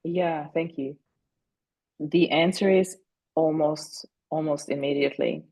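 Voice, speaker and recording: Woman in her forties, German speaker, podcast guest; gravelly, even-toned delivery, earnest and measured; dry close-mic sound. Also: low-pitched, no echo. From Austria. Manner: earnest and deliberate, slowing down on key moments. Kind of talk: reflective storytelling.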